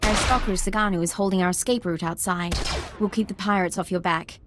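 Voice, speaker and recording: An actress playing a brisk, dryly wry female military squad leader in a video game. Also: mid-range voice, fairly even intonation, over music, natural voice. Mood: urgent, steady.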